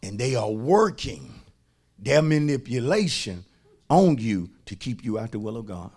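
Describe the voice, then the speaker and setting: An American man preaching a fervent sermon as a pastor, raspy and gravelly, folksy and emphatic, repeating phrases and swinging from hushed asides to shouting. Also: mid-range voice, sing-song, a little slow.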